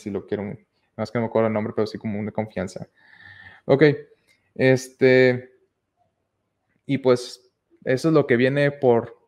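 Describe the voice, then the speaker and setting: A man's voice: mid-range voice, a little mellow. Hesitant young man. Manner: hesitant, many fillers and restarts. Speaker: meetup speaker. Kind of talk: casual technical presentation. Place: small room with whiteboard, indoors. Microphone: headset with boom mic.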